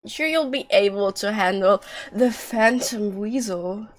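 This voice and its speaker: Slow-paced woman streaming a game. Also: medium pitch. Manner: joking, sarcastic, swearing. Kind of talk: casual livestream commentary on a game.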